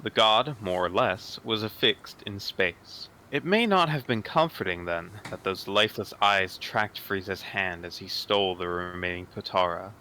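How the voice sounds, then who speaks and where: A man narrates a story in a formal, measured way. His voice is sharp and a little low, reading scripted prose dramatically with faint noise behind him. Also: poor audio.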